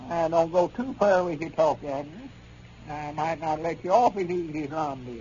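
An actor playing a feisty, needling old grandpappy with a folksy rural Arkansas drawl in a 1930s radio comedy. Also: male voice, on the high side, normal speed, mellow, lightly acted. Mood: goading, huffy. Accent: rural Arkansas, Southern US. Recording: muffled, hissy 1930s radio recording.

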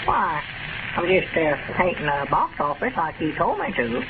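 An old country storekeeper from the rural South, pitched very high. He is addled, agreeable and takes everything literally, dithering along in folksy radio comedy style. The sound is a thin, scratchy 1930s broadcast with clear noise. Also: big pitch swings, normal speed, narrow, tinny audio. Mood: puzzled, agreeable. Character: addled, literal-minded older rustic storekeeper, rambling, agreeable.

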